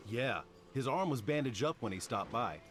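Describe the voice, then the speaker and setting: Man playing a chatty, animated middle-aged mechanic. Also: mid-range voice, normal speed, faint music behind, close to an everyday voice. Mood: chatty, sympathetic. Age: 40s. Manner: folksy, casual, animated. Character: chatty middle-aged male car mechanic, nosy but sympathetic. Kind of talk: voiced dialogue in a romance game.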